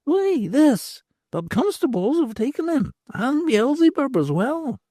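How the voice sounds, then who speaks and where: Cackly old crone tavern lady, super high and shrill, sly and grim. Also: sing-song, unhurried, warm tone, no room sound, studio quality. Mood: gloating. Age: older. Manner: gloating, ominous superstitious warnings. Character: old crone innkeeper, sly and grimly fatalistic. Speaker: tavern hostess.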